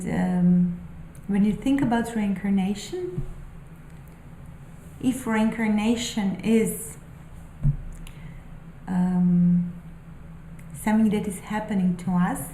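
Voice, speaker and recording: Slow, mellow-voiced woman musing aloud. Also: medium pitch, reverberant, a little hiss or hum, poor audio. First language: not English. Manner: thoughtful, halting, many ums and restarts. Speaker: spiritual vlogger. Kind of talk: unscripted musing monologue.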